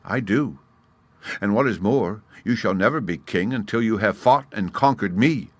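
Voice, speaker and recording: A man's voice: lowish voice, normal speed, dry recording, close to an everyday voice. A man voicing a tiny, cocky male gnat who talks with disdain and cheek. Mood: disdainful.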